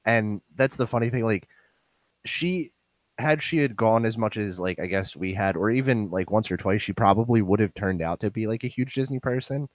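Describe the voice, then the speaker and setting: Hoarse young man, nasal and low. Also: moderate pace, dry recording, poor audio. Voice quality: nasal, bassy. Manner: rambling, many "like" fillers. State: hoarse, voice going. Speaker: podcast host. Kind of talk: casual podcast chat.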